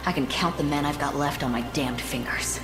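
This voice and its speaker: An actress playing a tough, distrustful captain of fighters in a video game, blunt and sardonic. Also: female voice, low-pitched, fairly quick, natural voice. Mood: grudging. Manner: blunt, sardonic, hard-bitten. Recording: roomy echo, music behind.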